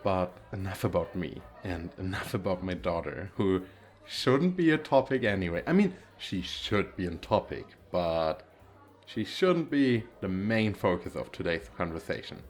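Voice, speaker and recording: A man playing a gentle, awkward single dad who flirts shyly and hesitantly in an ASMR roleplay. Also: low-pitched, expressive, a little slow. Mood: shy, amused. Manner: hesitant, self-deprecating, soft chuckles.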